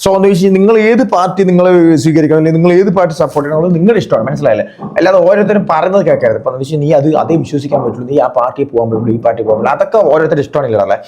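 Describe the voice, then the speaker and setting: A man's voice: a little high, racing, dark tone. A man giving chatty, casual reaction commentary as a video host, often checking that the listener follows.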